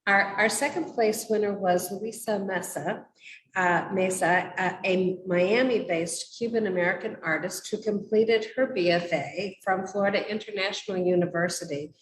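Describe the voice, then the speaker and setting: A woman hosting a conversation with artists, speaking in a measured, halting manner. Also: mid-range voice. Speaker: host interviewing artists for a museum. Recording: roomy echo with noticeable background noise.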